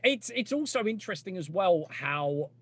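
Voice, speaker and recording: Male podcast co-host, high lively voice, banters and swears freely. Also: moderate pace. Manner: swears often, animated and jokey. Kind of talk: casual chat.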